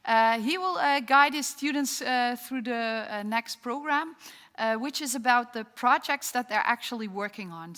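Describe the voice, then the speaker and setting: Woman, dutch accent, host, hesitant, bright voice, reverberant hall. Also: fairly high pitch. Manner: hesitant, many fillers. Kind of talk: introducing a speaker.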